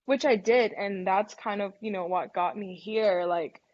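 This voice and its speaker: A chatty young woman from Thailand, Thai her first language, talks loosely and leans on "like" and "um". Her voice sits at a middle pitch for a woman. The sound is dull and lo-fi, like an online video call. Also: unhurried, darkish tone. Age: young adult. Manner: chatty, many fillers like "like" and "um". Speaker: college golfer guest. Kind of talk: casual webinar interview.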